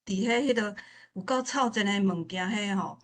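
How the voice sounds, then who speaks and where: 臺灣腔查某人，講話頓頓、重複. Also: female voice, mid-range voice. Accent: Taiwanese. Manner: halting, repeats phrases. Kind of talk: informal spoken remarks at a literature forum.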